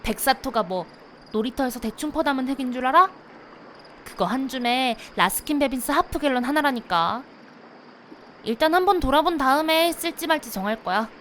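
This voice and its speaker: A woman acting a teasing, bossy young investigator in a visual novel, expressive and quick to scold, with rain and insects behind her. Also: high-pitched, a little hiss or hum.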